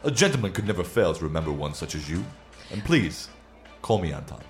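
Casting: male actor as deep-voiced courtly painter, suave, mysterious, formal gallant phrasing, radio drama with music underneath. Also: very animated, fairly quick. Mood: suave, courteous. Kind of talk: radio play dialogue.

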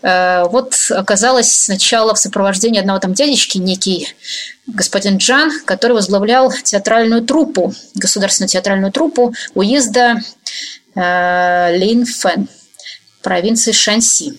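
A woman answers an interviewer at length in a steady, middle-pitched voice. She thinks aloud as she speaks, with frequent fillers and self-corrections. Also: moderate pace. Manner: thoughtful, many fillers and restarts. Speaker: researcher, interview guest.

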